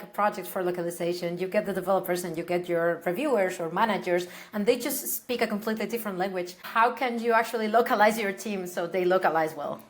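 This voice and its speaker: A woman co-hosting a podcast, putting questions to a guest in a conversational style. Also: medium pitch. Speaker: podcast co-host.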